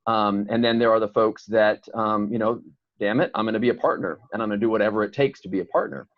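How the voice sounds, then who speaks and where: A lower-voiced man from Chicago who talks at a rapid clip. The sound is dry and dull, like a remote call line. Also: steady tone, dry recording. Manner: fast, rambling, many fillers and restarts. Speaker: podcast guest, entrepreneur. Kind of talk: casual interview conversation.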